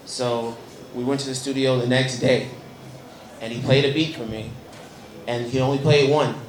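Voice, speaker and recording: A young American man from Chicago with a slightly hoarse voice, earnestly telling his story at a press event, with frequent ums. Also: medium pitch, fairly even intonation, normal speed, echoey room, slight background noise, poor audio. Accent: Chicago American English. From Chicago, United States. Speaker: guest musician.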